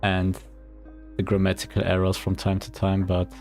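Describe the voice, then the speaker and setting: A man's voice: sits low, monotone, moderate pace, a little mellow, faint music behind. A man with a German accent, a game developer chatting casually on a livestream in a rambling, hesitant way. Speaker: indie game developer streaming.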